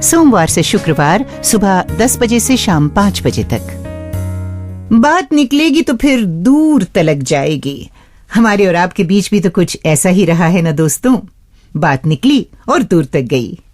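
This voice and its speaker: A woman's voice: on the low side, sing-song, a little slow, a little mellow, faint music behind. A woman presenting a scripted radio show, explaining things step by step and addressing listeners as friends.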